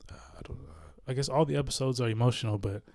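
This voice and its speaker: A man's voice: medium pitch, quick pace, darkish tone, no room sound, clean studio sound. A man hosting a podcast interview, laid-back and chatty, with lots of fillers and tag questions. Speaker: podcast host. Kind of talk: casual interview chat.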